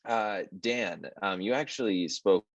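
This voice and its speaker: An American man moderating a casual panel discussion, earnest and halting, with many fillers. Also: lowish voice, no echo, muffled recording. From United States.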